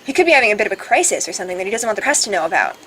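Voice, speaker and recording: A woman's voice: mid-range voice, sing-song, very fast, a bit bright, a little hiss or hum, poor audio. A woman reading visual novel dialogue aloud as a let's play host, playful and casual in her delivery. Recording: music behind.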